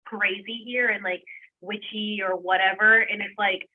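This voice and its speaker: A woman from Puerto Rico, a podcast guest, telling her story in a quick, chatty way full of "like". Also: mid-range voice, steady tone, phone-quality sound. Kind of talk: casual storytelling chat.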